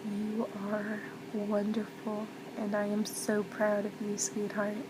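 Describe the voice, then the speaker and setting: A woman acting as a loving mother comforts her child in a soft ASMR role play. Her delivery is slow and very even, tender and reassuring, often dropping close to a whisper. Loud ambient noise runs under the voice. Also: medium pitch, natural voice. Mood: reassuring, earnest.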